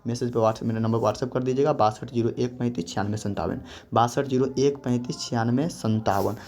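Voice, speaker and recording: A young man walks through a grammar lesson at a moderate pitch. He repeats his points and stretches out each example phrase slowly. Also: steady tone, a little mellow. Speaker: teacher.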